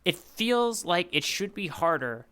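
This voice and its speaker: A man's voice: high voice, very animated, unhurried, sharp tone, smooth. A man co-hosting a podcast in casual chat, rambling and hedging as he thinks his points through aloud. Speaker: podcast co-host. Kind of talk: casual chat, manga readalong discussion.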